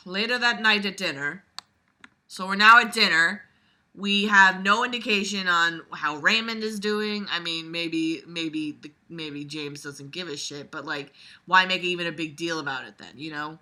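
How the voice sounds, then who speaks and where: A woman reads aloud and picks the text apart as she goes. Her voice sits slightly low for a woman but cuts through with a very bright, tense edge. She is casual, sarcastic and exasperated, and swears freely. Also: unhurried. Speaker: book reviewer reading aloud and critiquing. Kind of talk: casual sarcastic commentary while reading a novel aloud.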